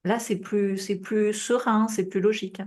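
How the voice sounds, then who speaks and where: A woman's voice: mid-range voice, fairly even intonation, measured pace, a little mellow. A French-speaking woman in the middle of her range gives advice in a loose, conversational flow. She leans on fillers and keeps checking in with the listener, and her voice sounds slightly tired and prone to coughs. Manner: casual, advising, many fillers and tu vois. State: coughing, voice tiring. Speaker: business coach. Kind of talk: group coaching call.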